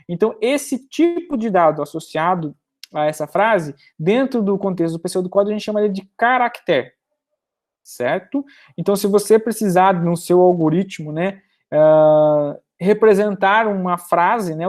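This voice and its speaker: A woman teaches a recorded programming class at an ordinary middle pitch. She explains step by step and keeps checking that her students are following. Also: darkish tone. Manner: explanatory, checks in often with "certo?". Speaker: university lecturer.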